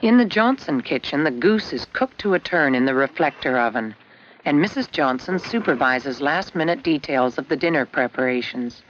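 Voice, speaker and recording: A narrator reading a scripted 1970s educational film in a measured storytelling delivery. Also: mid-range voice, expressive, a bit bright, slight background noise. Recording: dull lo-fi 1970s film soundtrack. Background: guitar music.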